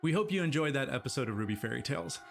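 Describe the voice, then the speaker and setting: A man's voice: medium pitch, lively intonation, rapid-fire, vocal fry. A man reading a scripted promotional outro as a show's announcer. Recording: music bed behind the voice.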